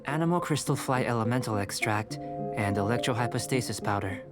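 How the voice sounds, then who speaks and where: A man acts out a bookish young researcher for a video game. He speaks formally and calmly in a middle register, precise and polite. Music plays clearly behind him. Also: mid-range voice, lightly acted. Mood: composed, polite. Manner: measured, formal, scholarly. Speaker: video game character actor. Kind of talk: scripted game voice acting.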